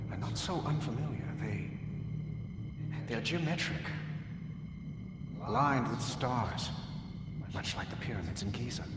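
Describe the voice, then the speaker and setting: A man performs an obsessive scientist in a dramatic game audio log. His mid-pitched voice is formal and theatrical, halting with intensity, set back in a reverberant hall under loud music. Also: distant mic, lightly acted. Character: obsessive male scientist, awestruck by lost civilizations.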